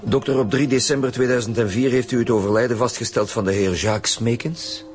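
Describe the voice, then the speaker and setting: An actor playing a steady, businesslike Flemish chief detective in a radio crime drama. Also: male voice, mid-range voice, brisk, soft background music, lightly acted. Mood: formal, probing. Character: steady male chief detective leading a murder squad. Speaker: actor in a radio police serial.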